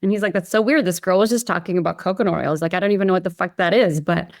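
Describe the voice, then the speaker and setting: A woman's voice: fairly low pitch, very fast, a little mellow, no room sound, clean studio sound. A woman from Hawaii chatting informally as an interview guest, telling her own story with lots of filler phrases. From Hawaii, United States. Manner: informal, peppered with "you know" and "like". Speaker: podcast guest, company founder. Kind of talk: casual chat, recounting own story.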